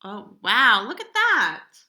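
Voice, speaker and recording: A woman reading a children's picture book aloud in an expressive, dramatic way, drawing out key words. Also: fairly high pitch, slow pace, roomy sound. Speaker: storybook reader.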